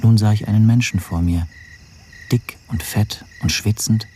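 A man plays a bitter, weary man in his thirties narrating a radio drama. His voice is fairly low and soft-edged, darkly mellow. A faint noise sits behind it. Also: moderate pace, natural voice. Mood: reflective. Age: 30s. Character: bitter, weary young stateless man recounting his arrest. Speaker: first-person narrator and lead.

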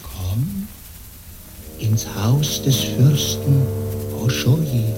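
A man's voice: medium pitch, very animated, poor audio. An actor playing a menacing male dream spirit, a tormenting evil conscience, in theatrical radio play style with a commanding, incantatory delivery. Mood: ominous, commanding. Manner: slow, commanding, incantatory, with hissed whispers. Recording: dramatic music behind the voice.

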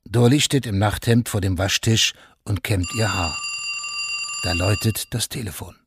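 An actor serving as the narrator of a radio crime drama, telling events in a measured, factual way. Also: male voice, lowish voice, gravelly, background noise. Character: sober omniscient storyteller of a crime chronicle.

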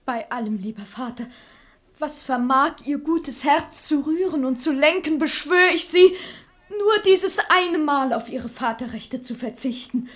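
Very high-voiced pleading young daughter. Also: female voice, lively intonation, measured pace. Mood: imploring. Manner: imploring, formal, emotional. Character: pleading, devoted young daughter, earnest and dutiful. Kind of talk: classic verse radio play acting.

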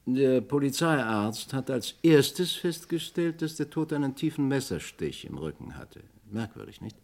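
An actor playing a sceptical police inspector with a cutting voice, questioning briskly and drily in a 1960s radio crime drama. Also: male voice, medium pitch, expressive, darkish tone. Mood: probing, sceptical. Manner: brisk, ironic interrogation.

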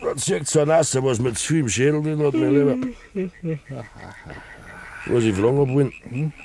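An actor playing a tipsy, jovial, teasing village card player with a chatty Bavarian accent, in 1950s radio play style. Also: male voice, mid-range voice, expressive, moderate pace, warm tone, natural voice. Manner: chatty, bantering. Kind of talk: 1950s German dialect radio play acting. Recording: 1950s radio recording, dull, faint noise.

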